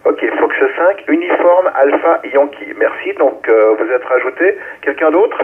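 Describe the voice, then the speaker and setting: Male radio net host, slightly high voice, narrow tinny relay sound. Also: lively intonation, unhurried. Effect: two-way radio.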